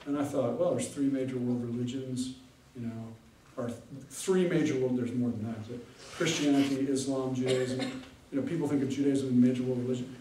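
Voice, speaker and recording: A pastor speaks to an audience in a loose, conversational way, often pausing with fillers. His voice is warm and mellow and sits at an ordinary pitch. He is recorded from far off in a very echoey hall with a faint hum of the room behind him. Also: fairly even intonation. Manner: conversational, hesitant, many fillers. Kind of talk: casual lecture with audience Q&A.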